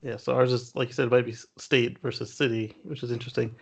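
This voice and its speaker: A man co-hosting a casual news discussion in a halting way, sometimes chuckling as he talks. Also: medium pitch, flat delivery, gravelly, husky, dull audio. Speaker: talk show co-host.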